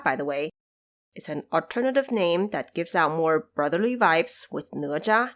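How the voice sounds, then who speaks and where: Woman presenting explanatory podcast notes. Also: medium pitch. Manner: measured, didactic, conversational asides. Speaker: podcast host. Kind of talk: explanatory production-notes narration.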